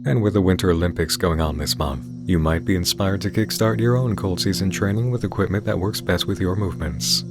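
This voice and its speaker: A man delivering scripted video-essay narration in a measured, formal, articulate way. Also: deep voice. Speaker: host of video essay channel on horror series. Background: music under the voice.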